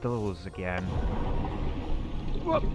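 A man's voice: sits low, wildly swooping pitch, very slow, loud music behind the voice. A young man roleplaying through a survival game, musing aloud to himself with nervous outbursts. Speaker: gaming YouTuber roleplaying a character. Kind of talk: in-character gameplay commentary.

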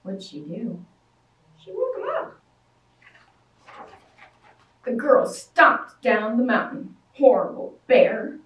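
A woman in her thirties reading a picture book aloud to young children at a library storytime, sing-song and animated, acting out shouts and roars. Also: a little high, slow pace, long pauses, recorded from a distance, highs cut off. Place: library storytime room with toys. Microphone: far camera. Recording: echoey room, voice from afar.

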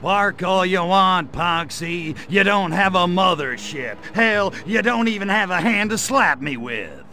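An actor playing a cocky, wisecracking male alien invader with a gravelly, slangy drawl in a video game. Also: high-pitched, expressive, measured pace, bright, forceful tone, slight background noise, full character voice. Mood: taunting. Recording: game audio with faint music.

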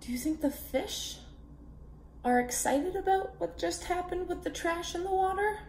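High, animated young woman reading a picture book. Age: 20s. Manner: deliberate, animated, asks children questions. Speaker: read-aloud storyteller for children. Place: small indoor room at a table. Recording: echoey room, background noise with clicks.

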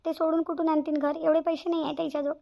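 A woman's voice: high-pitched, flat delivery, racing, gravelly, muffled recording. A woman with a Varhadi Marathi accent voicing a selfless, earnest young bride-to-be in a cartoon family drama. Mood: distressed, earnest. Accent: Varhadi Marathi from Vidarbha. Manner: earnest, repeats phrases emphatically. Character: anxious, selfless young bride-to-be, tenderly devoted.

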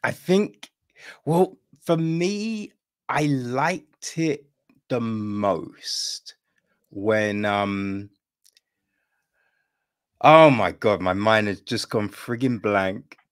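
An older man chatting casually as the interviewer in a relaxed, informal conversation. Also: on the low side, drawn-out words, long pauses. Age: older, 50s or 60s. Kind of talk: casual chat. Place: room at home, video call.

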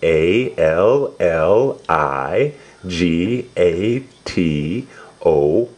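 Low-pitched man reading slowly to small children. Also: lively intonation, a little mellow. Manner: simple, repetitive, drawn-out words with exclamations. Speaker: storybook reader for young relatives. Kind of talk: read-aloud storytelling to young children, explaining pictures and words.

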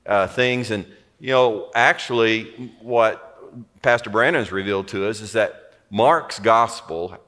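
An older man, a pastor, co-teaching a sermon in a relaxed, reflective, conversational way in a large church auditorium. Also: on the low side, measured pace. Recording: long hall reverb.

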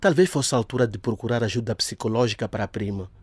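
A man tells a scripted drama as its narrator. His voice is medium in pitch and recorded dry and close. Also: fast, husky, no echo.

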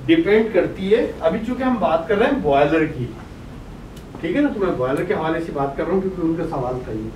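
A man's voice: a little high, a little mellow, muffled recording. An older man with a Pakistani Urdu accent lecturing at a whiteboard through a face mask, quizzing his class and answering himself. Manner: asks the class questions and answers them himself; talks through a face mask while writing on the board. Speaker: professor lecturing on boiler chemistry. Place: brick-walled meeting room indoors. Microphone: distant room mic. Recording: echoey room from far off with noise.

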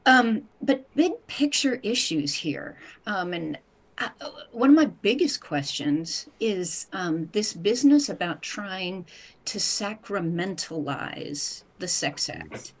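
A middle-aged woman, a professor making a deliberate, emphatic argument on a video call panel. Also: medium pitch, lively intonation. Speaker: theology professor. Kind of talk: panel discussion, considered argument. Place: home room, video call.

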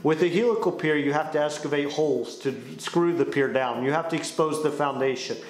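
A young man with a slightly high voice delivers a scripted explainer as a company presenter. He speaks to camera in a small studio, and the room echo is clearly audible around his words. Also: a little fast. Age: young adult. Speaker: sales director presenting a company explainer video. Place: small indoor studio with dark wall panels. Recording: roomy echo on the voice.

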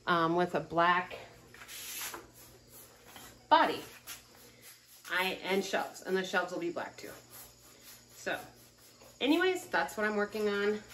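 A woman's voice: lowish voice, a bit bright, a little hiss or hum. A woman in her forties filming her furniture flipping vlog on a phone in a cluttered garage workshop, talking casually with lots of ums as she works. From American Midwest. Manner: chatty, lots of ums, talks while working. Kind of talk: casual walk-through vlog. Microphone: phone camera, often held at arm's length.

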